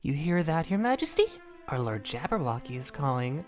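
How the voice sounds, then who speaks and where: A gleeful, wicked male jester with a teasing, theatrical lilt, as a woman acts him out. His pitch leaps wildly up and down, sitting a little low at rest. Faint music plays under the voice. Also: big pitch swings, normal speed, darkish tone. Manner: sing-song, teasing, theatrical.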